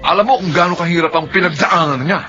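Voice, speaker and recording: A man's voice: on the high side, quick pace, lightly acted. A man acting a stern, angry family man in a Filipino radio drama, scolding and accusatory. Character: stern, angry man siding with his elderly mother. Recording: dull lo-fi broadcast, music underneath.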